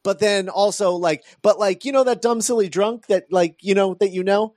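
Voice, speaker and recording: Higher-voiced man, casual podcast host. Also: normal speed, a little mellow, no room sound, clean studio sound. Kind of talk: casual film discussion.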